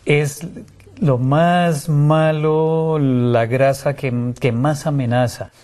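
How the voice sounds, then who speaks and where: A man's voice: fairly high pitch, fairly even intonation, drawn-out words, warm tone. Colombian man, a doctor being interviewed. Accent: Colombian Spanish, Santander. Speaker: guest doctor, nephrologist. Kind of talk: explanatory interview talk.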